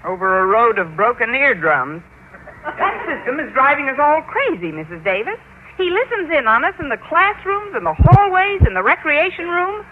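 Wry schoolteacher, lively old-radio sound. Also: female voice, sits high, normal speed. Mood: wry, exasperated. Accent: American. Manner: dry, wisecracking delivery. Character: wry, quick-witted woman high school teacher. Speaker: actress in radio comedy. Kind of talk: 1940s radio sitcom acting. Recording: dull, noisy vintage radio recording.

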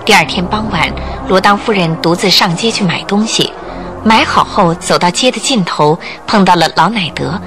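A woman narrating a Chinese radio drama, telling the story in a measured way with theatrical emphasis. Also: lowish voice. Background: music.

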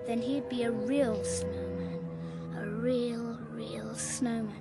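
A highish, needling child's voice in a radio horror play. The older child is bossy and coldly insistent, with music behind. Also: female voice, huge pitch swings, slow, creaky, heavily acted. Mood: bossy, menacing. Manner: commanding, needling delivery. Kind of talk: radio horror drama acting.

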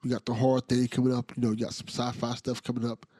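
A man hosting a podcast interview, relaxed and supportive, asking short questions. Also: mid-range voice, fast, no room sound, clean studio sound. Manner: laid-back, brief affirmations. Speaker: podcast host.

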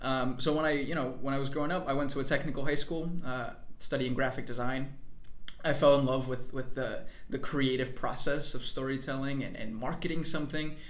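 A man's voice: medium pitch, fairly even intonation, a little fast. Talkative man with a Jersey accent. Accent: New Jersey. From Mexico. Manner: talkative, upbeat, frequent uh and um fillers. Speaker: guest, entrepreneur. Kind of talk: casual podcast interview. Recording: roomy, echoey room sound.

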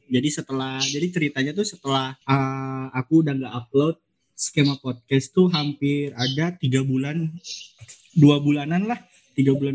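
A man's voice: a little high, darkish tone, low-quality recording. A man, hosting a casual podcast in a chatty, informal way that often repeats words. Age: 20s. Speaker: podcast host, law student.

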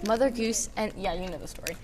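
A woman's voice: mid-range voice, fast, background noise. A teenage girl co-hosting a casual podcast, chatting about her week in a playful, self-mocking way. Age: teens. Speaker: podcast co-host. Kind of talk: casual chat with a friend.

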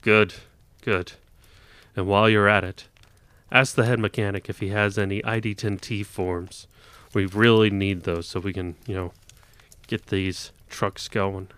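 A man voicing a hurried male army sergeant who adds one more errand to a recruit's list. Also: on the low side, fairly even intonation, a bit bright, clean tone, no room sound. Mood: brisk. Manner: brisk, pleased, offhand.

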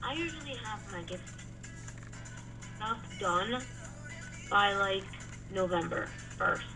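A woman's voice: mid-range voice, animated, slow. A woman co-hosting a casual podcast, talking about her week in a chatty, matter-of-fact way. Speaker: co-host. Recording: distant from the mic, music behind.

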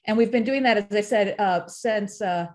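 A woman's voice: medium pitch, normal speed, darkish tone. A woman guest on a podcast interview, explaining things methodically and in detail, step by step.

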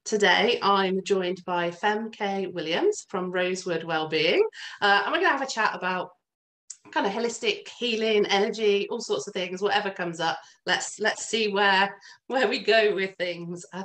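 A woman's voice: medium pitch, normal speed, a bit bright. A woman hosting an interview podcast, friendly and welcoming, with a few uh fillers. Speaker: host.

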